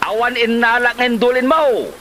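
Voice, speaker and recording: A man's voice: very high-pitched, normal speed, sharp tone. A man playing a shifty, irritable, broke husband in a comedy drama, with a Filipino accent and a dismissive manner. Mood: defensive, irritated. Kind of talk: Ilocano comedy drama acting. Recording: light noise, rain-like ambience.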